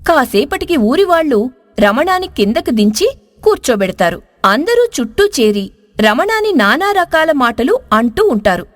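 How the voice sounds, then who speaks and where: Casting: female story narrator, high voice, very lively intonation, scripted delivery, close studio sound. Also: normal speed. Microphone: close studio voice-over.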